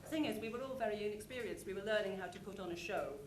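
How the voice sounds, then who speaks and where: A woman's voice: mid-range voice, racing. A woman giving an informal conference talk, chatty and anecdotal as she describes a show. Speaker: conference presenter. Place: conference hall. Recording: echoey room, clear background noise.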